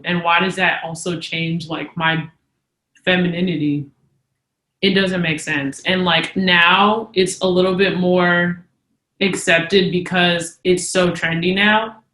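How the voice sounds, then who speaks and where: A woman's voice: lowish voice, steady tone, slow, pushed and bright, roomy sound, low-quality recording. A young woman co-hosting a casual podcast who speaks candidly and emphatically and says "like" a lot. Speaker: podcast co-host.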